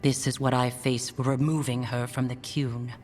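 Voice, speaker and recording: An actress voicing a stern, proud middle-aged scholar mother in a video game, speaking formally and with measured restraint. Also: very deep voice, flat delivery, soft background music. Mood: cool, stern. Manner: formal, measured, clipped diction without warmth. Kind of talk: video game dialogue acting.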